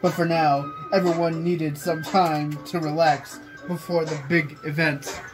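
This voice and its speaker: A man's voice: fairly high pitch, little pitch movement, measured pace. Young man reading aloud over music. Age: young adult. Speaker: friend reading fan fiction aloud. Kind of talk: amateur read-aloud with casual chat. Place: small bedroom, indoors. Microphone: phone camera nearby.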